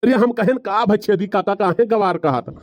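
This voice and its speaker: A man's voice: sits high, moderate pace, dry recording, natural voice. A man voicing an argumentative, excuse-making village husband with an Awadhi accent in a cartoon comedy. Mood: grumbling storytelling. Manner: rambling, bantering, colloquial.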